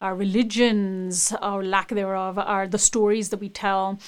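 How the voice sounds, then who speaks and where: Woman, interview host, measured questions, engaged, smooth resonant voice. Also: medium pitch, flat delivery.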